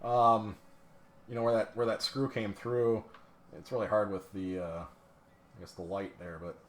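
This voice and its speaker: A man's voice: on the low side, flat delivery, measured pace, a little hiss or hum. Rambling man narrating a pinball teardown. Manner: rambling, lots of fillers and restarts, self-deprecating. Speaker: pinball restorer streaming a teardown. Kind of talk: casual live-stream show-and-tell.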